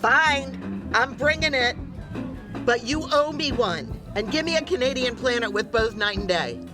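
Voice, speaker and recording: A woman playing a sassy, excitable diner waitress with a blunt, exclamatory manner in an audio drama. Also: a little high, unhurried, music in the background. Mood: bossy.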